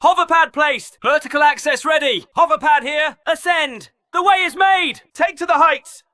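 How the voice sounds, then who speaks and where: A man playing a flamboyant, excitable arena combatant in a video game, throwing out exuberant, punchy callouts in short bursts. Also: extremely high pitch, moderate pace. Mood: excited, triumphant.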